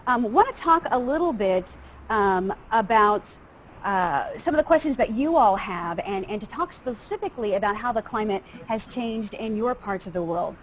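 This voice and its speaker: A woman hosting a video call, briskly introducing guests and asking questions. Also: mid-range voice, lively intonation, a little hiss or hum. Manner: brisk, inviting, calls on guests by name. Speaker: host. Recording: dull lo-fi video call audio.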